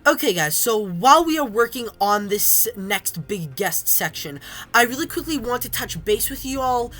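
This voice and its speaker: A young woman gaming creator, hoarse and raspy from being sick, chatting casually over her gameplay with frequent ums. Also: on the high side, animated, moderate pace, a bit bright, faint music behind. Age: young adult. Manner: chatty, many ums and restarts. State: hoarse from illness. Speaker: gaming YouTuber. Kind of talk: casual game commentary.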